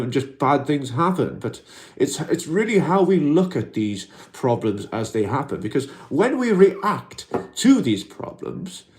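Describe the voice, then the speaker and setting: A Welsh man chatting on a livestream from home in a soft, unhurried, reflective way, often pausing on "you know". His voice is mellow and warm, at an ordinary middle pitch. He reads viewers' comments aloud and answers them calmly. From Wales. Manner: gentle, reflective, many "you know" fillers. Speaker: livestream host in an alien costume. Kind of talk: casual livestream chat reading comments.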